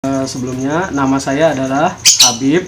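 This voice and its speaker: A man's voice: medium pitch, steady tone, moderate pace. A man giving short, plain interview answers about himself. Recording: noisy field recording, clicks.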